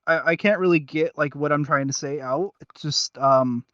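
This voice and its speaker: A man with a slightly high voice chats casually as a podcast host. He gropes for his point in a halting, self-deprecating ramble. The sound is very dry and a little dull. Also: fairly bright tone, dry recording. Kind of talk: casual review chat.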